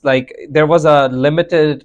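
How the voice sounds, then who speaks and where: A man's voice: on the high side, fairly even intonation, moderate pace, a little mellow, clear, resonant tone, dull audio. A young man hosting a podcast, telling stories in a rambling, laughing way with many fillers. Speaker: podcast host. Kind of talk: casual chat.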